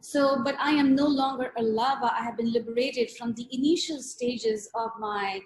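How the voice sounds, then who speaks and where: A woman from Pakistan with a high voice talks about her artwork over a video call. She is earnest and reflective and repeats words to stress them. The sound comes through a call connection. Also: moderate pace, rough recording. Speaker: artist. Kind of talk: reflective interview talk.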